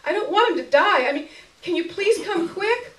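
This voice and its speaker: A woman's voice: very high-pitched, expressive, normal speed, fairly bright tone, voice pushed up. A woman reading a story aloud, voicing an anxious, needy woman teacher with a strained, quavering voice and plaintive prattle. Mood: tearful, shrill. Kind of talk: live short-story reading. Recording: echoey room, miked from a distance.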